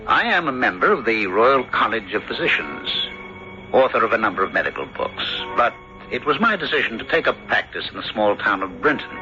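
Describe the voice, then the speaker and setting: An actor playing a kindly, rational country doctor in an old-time radio drama, with a fussy, reassuring, measured manner. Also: male voice, on the low side, very animated, pushed and bright, soft background music, exaggerated voice. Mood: grave narrating. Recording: muffled, noisy old radio drama recording.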